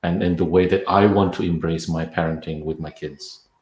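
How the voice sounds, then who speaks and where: A man's voice: deep voice, steady tone, normal speed, darkish tone, echoey room. A man from Indonesia, a parenting coach, talking thoughtfully in a podcast interview with many restarts.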